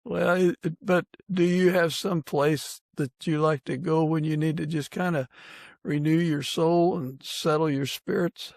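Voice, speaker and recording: Gravelly American man hosting, dry close sound. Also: a little high, fairly even intonation, measured pace, darkish tone, no room sound. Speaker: podcast host, interviewer. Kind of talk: casual interview chat.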